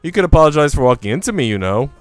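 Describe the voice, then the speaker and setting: A man's voice: a little high, big pitch swings, racing, no echo, faint music behind. A man voicing a haughty, snappish young blonde girl in a visual novel. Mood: haughty, annoyed. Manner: imperious, scolding. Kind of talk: visual novel character reading.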